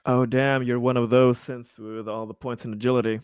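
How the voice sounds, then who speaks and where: A man's voice: medium pitch, level tone, fairly quick, clean tone, dry recording. Casual man chatting, very dry close sound. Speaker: tabletop roleplaying game player. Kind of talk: casual post-game chat.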